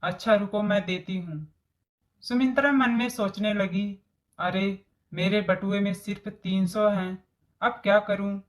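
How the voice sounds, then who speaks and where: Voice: medium pitch, fairly even intonation, a little slow, natural voice. A voice actor playing a scheming, greedy middle-aged mother-in-law in a story cartoon, with exaggerated storybook delivery. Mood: fretful. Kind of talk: Hindi moral story cartoon dialogue.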